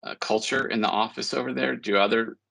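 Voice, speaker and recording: A man interviewing a colleague, asking friendly questions and affirming her answers, with frequent uh pauses. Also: medium pitch, steady tone, gravelly, bright, forceful tone.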